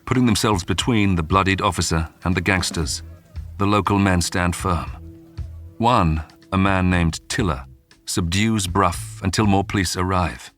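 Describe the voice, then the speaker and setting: Man, deep low voice, true-crime narration, dramatic measured phrasing, suspenseful pauses, faint music bed. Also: a bit bright. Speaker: documentary narrator. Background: background music.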